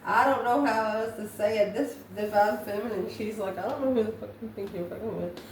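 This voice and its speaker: A woman reads tarot cards for her audience, thinking aloud in short, halting phrases. Her mid-pitched voice comes from a little way off the mic in a roomy, echoing space. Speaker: tarot reader, host. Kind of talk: intuitive tarot card reading, talking to viewers. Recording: roomy echo, distant mic, background noise.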